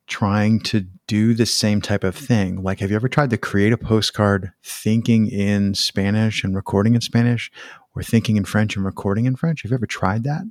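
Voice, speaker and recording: A man with a lowish voice hosts a casual podcast chat. He rambles curiously, circling back and rephrasing his questions. The sound is close and dry. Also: darkish tone, no echo. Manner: curious, rambling, self-correcting. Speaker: podcast host. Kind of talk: casual interview conversation.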